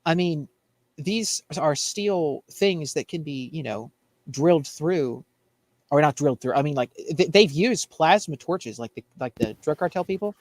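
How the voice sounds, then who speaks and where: A man's voice: a little high, animated, measured pace, no room sound. An American man on a talk show panel, giving opinionated political chat in a blunt, exasperated, ranting manner.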